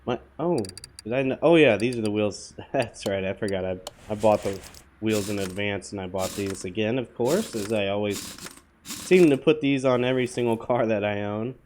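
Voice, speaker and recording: A man with a slightly hoarse voice, mid-pitched and easygoing, talks his viewers through a game in a loose, meandering way. Faint hiss and clicks sit under his voice. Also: moderate pace, a little mellow. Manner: rambling, offhand, full of fillers. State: hoarse from a cold. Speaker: gaming channel host. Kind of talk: casual gameplay commentary.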